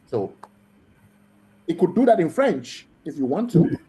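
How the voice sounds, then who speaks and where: A man's voice: fairly high pitch, big pitch swings, unhurried, dark tone. A man presenting to an online class, explaining patiently with repeated phrasing. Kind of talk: technical demo explanation.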